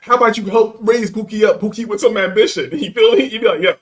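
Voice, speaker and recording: A man's voice: high-pitched, quick pace. A man hosting a reaction stream, talking bluntly in profane street slang. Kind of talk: casual reaction commentary.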